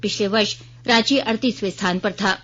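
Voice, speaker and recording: A woman's voice: medium pitch, fairly even intonation, brisk, a bit bright. A woman with an Indian accent reading a formal, scripted news bulletin as a radio newsreader. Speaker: news reader. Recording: dull, band-limited radio broadcast sound.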